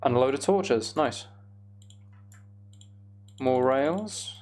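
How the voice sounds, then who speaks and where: A man's voice: medium pitch, expressive, drawn-out words, darkish tone, a little hiss or hum. A man giving casual, self-deprecating gameplay commentary, musing aloud as he goes. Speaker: gaming video host.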